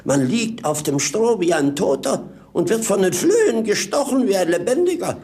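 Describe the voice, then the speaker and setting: An actor playing a witty, mocking servant in theatrical, mock-pompous 1950s radio-play style. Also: male voice, high-pitched, very animated, normal speed, darkish tone. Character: roguish servant companion, witty, mocking, down-to-earth opportunist.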